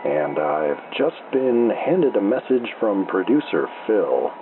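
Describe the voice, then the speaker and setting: A man playing a calm, laconic small-town baseball announcer doing unhurried play-by-play on the radio. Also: on the low side. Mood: calm, unruffled. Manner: calm, laconic, drowsy, unruffled. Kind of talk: slow minor-league baseball radio play-by-play. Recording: dull noisy AM-style baseball radio broadcast.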